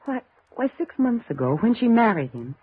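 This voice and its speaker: A woman's voice: fairly high pitch, very animated, moderate pace, breathy edge. An actress playing an anxious, grieving young woman in 1950s radio drama, hesitant and fretful. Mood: distraught. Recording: tinny, narrow vintage radio recording.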